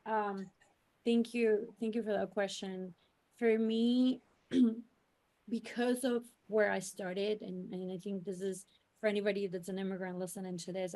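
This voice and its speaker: A woman's voice: medium pitch, darkish tone. A woman raised in New York City, a youth civic organizer answering on a panel in an earnest, explanatory way with many fillers and restarts. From New York City, United States.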